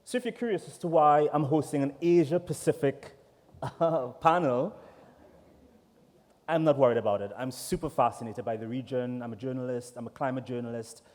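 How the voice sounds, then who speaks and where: A man from Trinidad and Tobago with a Trinidadian accent, chatty and relaxed, moderating a conference panel at a desk microphone. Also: mid-range voice, moderate pace. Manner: relaxed, chatty, with many fillers. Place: large conference hall. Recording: echoey hall reverb.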